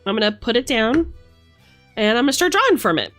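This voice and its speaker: A woman's voice: a little high, big pitch swings, brisk, a bit bright, faint music behind. A woman livestreamer chatting casually and affectionately, thinking aloud in a playful way as she reads tarot cards.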